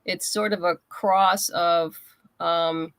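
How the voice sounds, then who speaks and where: A woman guest in a casual interview, chatting in a halting way as she thinks aloud. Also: fairly low pitch, fairly even intonation, vocal fry, clear, resonant tone. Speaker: interview guest, comics writer and creator.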